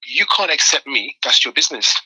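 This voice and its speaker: A man's voice: mid-range voice, expressive, brisk, sharp tone, husky, no echo, rough recording. A man from Nigeria, a guest in an interview, telling his story animatedly and often repeating words while he gathers his thoughts. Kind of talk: conversational interview.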